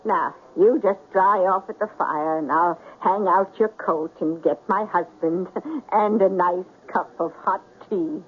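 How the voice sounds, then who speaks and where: A woman's voice: fairly low pitch, measured pace, hardly any pauses, close to an everyday voice. An older woman playing a kindly, trusting little old lady who fusses hospitably, in a 1970s radio drama. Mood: warm, fussing. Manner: fussing, motherly, chatty. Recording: noisy, narrow-band 1970s radio recording.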